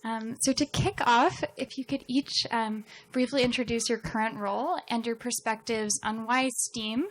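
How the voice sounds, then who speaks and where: Upbeat woman moderator, slightly high voice. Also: fairly even intonation, moderate pace, few pauses, poor audio. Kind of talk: panel moderation.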